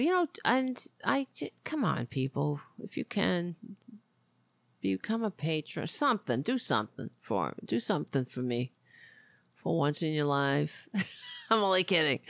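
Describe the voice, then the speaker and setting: A talk show host giving casual, rambling political commentary in a wry, self-mocking, exasperated way, often halting and drifting off on tangents. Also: mid-range voice, creaky, no room sound.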